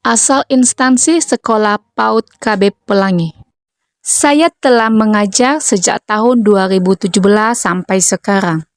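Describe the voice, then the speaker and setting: A woman from North Sumatra who teaches preschool, reading a prepared account in a measured, earnest way. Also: mid-range voice, dry recording, studio quality. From North Sumatra, Indonesia. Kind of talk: scripted narration, reading a prepared text.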